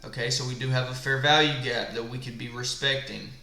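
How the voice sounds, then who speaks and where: A man in an echoey room with a gravelly, smoker-like voice. He sounds tired late at night and walks through his trading setup, asking and answering his own questions. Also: mid-range voice, steady tone, fairly quick, pushed and bright. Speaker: trading instructor. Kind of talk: live screen-share trading walkthrough. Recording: echoey room with faint background music.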